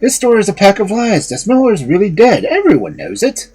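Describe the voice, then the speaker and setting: A man plays an insistent storybook relative, explaining earnestly. The voice is raised and very husky, with wide swings in pitch. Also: big pitch swings, a little fast, warm tone, full character voice. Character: insistent kinsfolk of the hero, explaining and confessing.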